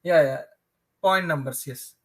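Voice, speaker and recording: A man with a high-pitched, bright, forceful voice and a South Indian accent, Telugu being his mother tongue. He teaches programming live online in an informal way. His explanations come haltingly, and he often circles back to repeat a point. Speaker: programming instructor.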